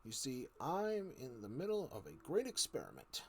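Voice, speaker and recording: A man's voice: mid-range voice, wildly swooping pitch, moderate pace, vocal fry. A man voicing a sly, creepy old uncle from a storybook, falsely genial and coaxing. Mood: eager, insistent. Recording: noticeable background noise.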